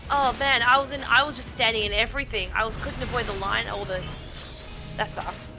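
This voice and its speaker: Gamer woman, fry in her voice, casual reactions and chat, game music playing behind. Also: a little high, a little fast. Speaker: stream co-player and moderator. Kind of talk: casual chat while gaming. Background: video game music.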